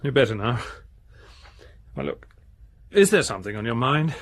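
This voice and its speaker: An actor playing a courteous upper-class English amateur detective, gently probing with questions, in a radio murder mystery. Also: male voice, mid-range voice, big pitch swings, normal speed. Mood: gentle, coaxing.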